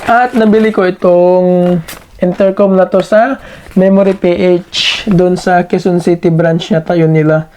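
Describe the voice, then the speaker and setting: A man reviews a product in a casual, hands-on way. His voice is mellow and stays fairly flat in pitch. He speaks haltingly, often repeating a word while looking for the next, with faint noise behind him.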